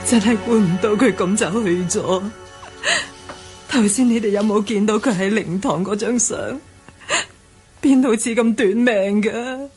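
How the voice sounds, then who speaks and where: A woman's voice: mid-range voice, measured pace, a little mellow, faint music behind, natural voice. Plaintive middle-aged Hong Kong mother, played by a woman. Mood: grieving. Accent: Hong Kong Cantonese. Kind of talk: Cantonese radio drama acting.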